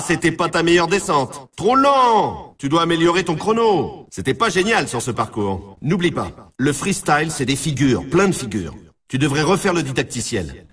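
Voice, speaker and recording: An actor playing an excitable snowboard race announcer in a video game, emphatic and showy, heard slightly at a distance. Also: male voice, a little high, breathy edge, exaggerated voice. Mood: critical, teasing. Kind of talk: scripted video game sports commentary.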